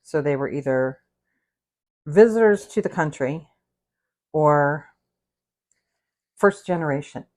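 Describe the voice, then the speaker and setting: A woman's voice: deep voice, expressive, slow pace, long pauses, darkish tone. A woman in her sixties with an American accent, chatting casually in a candid, meandering way, often interrupting herself as she hosts a cooking livestream. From United States. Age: 60s. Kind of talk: casual rambling livestream chat while cooking.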